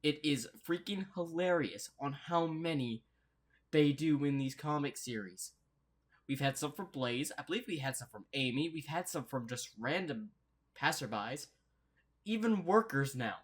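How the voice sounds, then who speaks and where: A man's voice: fairly high pitch, animated, a little slow. A man hosting a reaction video with casual, rambling commentary, easily amused.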